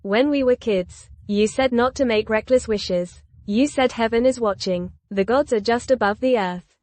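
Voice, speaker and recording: A woman playing a sharp-tongued, judgmental sister-in-law in a dubbed melodramatic audio drama, lecturing the family. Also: on the high side, normal speed, no echo, close to an everyday voice. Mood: reproachful. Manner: scolding, lecturing.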